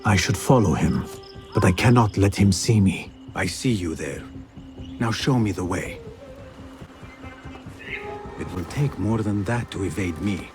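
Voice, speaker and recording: An actor voicing a stealthy assassin who speaks in a measured, quiet, resolute way. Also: male voice, sits low, expressive, music in the background. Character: stealthy hooded assassin, calm and determined.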